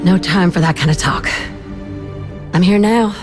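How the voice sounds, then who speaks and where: An actress playing a plucky, no-nonsense female pilot and fighter in a video game, with a brisk manner. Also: lowish voice, over music, close to an everyday voice. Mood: brisk, friendly.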